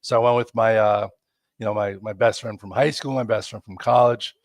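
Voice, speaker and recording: A man's voice: fairly low pitch, steady tone, brisk, no room sound. A man, congested and losing his voice, hosting a chatty, rambling live stock market show with lots of fillers. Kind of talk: casual live market commentary.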